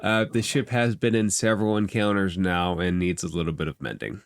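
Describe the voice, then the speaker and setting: A man's voice: low-pitched, brisk, no room sound. A man working as game master, narrating a tabletop game off the cuff in a conversational, explanatory way.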